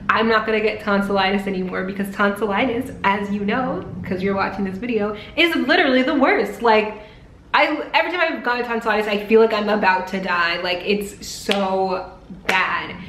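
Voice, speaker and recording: A woman's voice: medium pitch, fairly bright tone, roomy sound, slight background noise, rough recording. A young woman vlogging casually to camera, chatty and full of "like", her voice a bit strained while she heals from throat surgery. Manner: chatty, peppered with "like". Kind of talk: casual vlog talk to camera.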